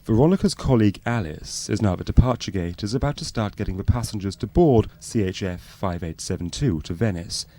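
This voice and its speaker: A man's voice: on the low side, expressive, a little fast, hardly any pauses, dark tone. A man reading scripted narration for a spoof fly-on-the-wall documentary.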